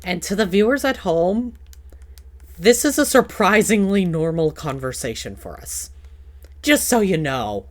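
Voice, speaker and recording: A woman's voice: lowish voice, expressive, unhurried. A woman hosting a casual podcast chat in a playful, teasing, rambling way. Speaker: podcast host.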